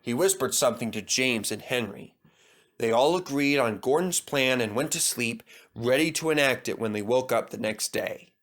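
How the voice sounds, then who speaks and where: A man's voice: medium pitch, expressive, moderate pace, a bit bright. A man reading a scripted children's story as narrator. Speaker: storyteller, reader.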